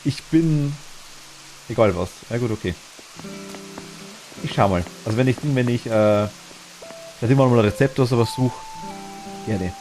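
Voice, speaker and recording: A man's voice: medium pitch, normal speed, long pauses, a little mellow, soft background music, rough recording. A man with a Bavarian accent chatting casually and enthusiastically while streaming a game, laughing easily.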